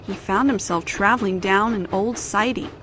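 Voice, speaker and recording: A woman's voice: mid-range voice, moderate pace, background noise. A woman narrator reading a scripted children's story in storybook style.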